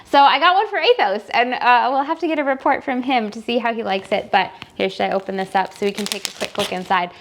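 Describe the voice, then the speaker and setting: A woman's voice: on the high side, fast, hardly any pauses. A woman talking casually and enthusiastically to viewers as a book vlog host, gushing and wandering into asides as she thinks aloud. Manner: enthusiastic, gushing about books. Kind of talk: casual chatty reading vlog.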